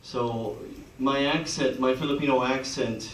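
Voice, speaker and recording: An American man who heads a foundation, speaking casually in a relaxed, joking way. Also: medium pitch, measured pace, slight background noise. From United States. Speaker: foundation head. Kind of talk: casual speech with humor. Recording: echoey room, distant mic.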